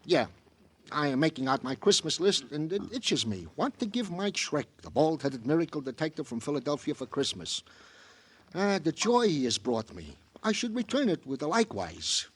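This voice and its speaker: Chatty, swooping, flowery radio police sergeant. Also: male voice, fairly high pitch, sing-song, slightly rough. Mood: musing. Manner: florid, mock-poetic phrasing, drawn-out musing. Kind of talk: 1950s radio crime drama acting.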